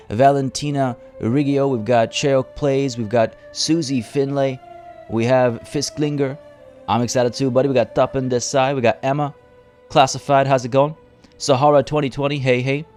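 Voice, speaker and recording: A man, a little hoarse, chatting casually as he streams a strategy game from home and greets viewers by name. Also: medium pitch, a little mellow. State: slightly hoarse. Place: home room by a window.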